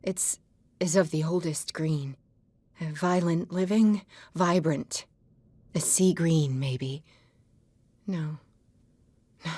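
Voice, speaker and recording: A woman acting as a fragile, half-mad painter in a video game, breathy and husky, drifting dreamily and cryptically between halting phrases. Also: sits low, warm tone, no room sound, exaggerated voice. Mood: dazed, dreamy.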